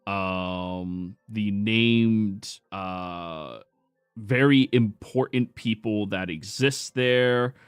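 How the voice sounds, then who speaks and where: Casting: lowish-voiced man as game master, measured narration, dead-dry mic. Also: smooth, no echo. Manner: measured, fillers while describing.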